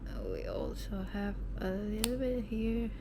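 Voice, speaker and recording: Young indonesian woman, slight british tinge, talks slow and kinda monotone, lots of hiss behind her. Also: mid-range voice. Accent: Indonesian, with some British English. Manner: chatty, rambling, self-deprecating, sometimes drops to a whisper. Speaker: vlogger. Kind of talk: casual unboxing chat. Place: home at night.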